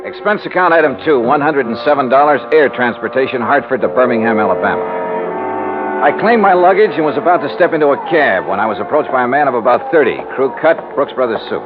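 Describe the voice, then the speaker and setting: An actor playing a wry, no-nonsense insurance investigator, crisp and probing, in mid-century American radio detective style. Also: male voice, medium pitch, expressive, fast talker, music in the background, close to an everyday voice. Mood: brisk narration. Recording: dull old radio recording.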